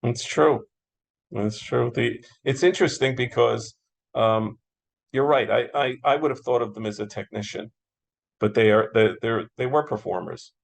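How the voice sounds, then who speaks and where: Casting: American man, moderator type, creaky voice, enthusiastic and agreeable in casual conversation. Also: mid-range voice, normal speed. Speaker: interviewer, moderator. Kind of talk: casual interview chat about classic radio.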